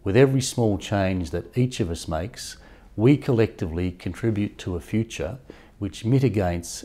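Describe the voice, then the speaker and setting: Deep mellow guy reading a formal company speech, steady and measured. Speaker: company executive. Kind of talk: prepared corporate address.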